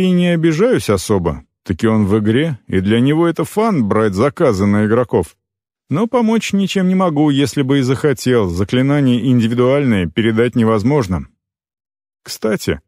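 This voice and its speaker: A man reading a first-person fantasy novel aloud as an audiobook narrator, with expressive storytelling. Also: mid-range voice, moderate pace, dry recording, studio quality.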